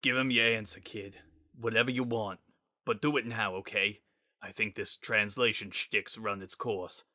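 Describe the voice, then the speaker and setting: A man's voice: on the low side, fairly even intonation, moderate pace, pushed and bright, dry recording, clean studio sound. A man voicing a slangy, wisecracking character worn down by grief and desperation in a dramatic story reading. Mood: weary, subdued. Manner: slangy, informal, emotionally raw.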